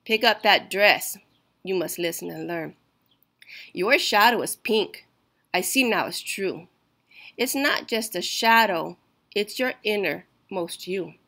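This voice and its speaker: A woman voicing a stern but gentle father in a children's storybook, speaking slowly and firmly. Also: mid-range voice, vocal fry, pitched below the performer's own voice, full character voice. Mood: gently stern.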